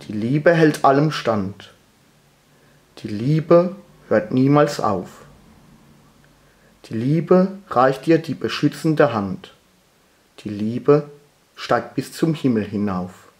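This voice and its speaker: Guy reading a poem out really slowly, solemn and deliberate, medium pitched voice. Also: expressive, darkish tone. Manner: measured, deliberate phrasing with pauses. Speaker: poetry reciter. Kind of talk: solemn poetry recitation.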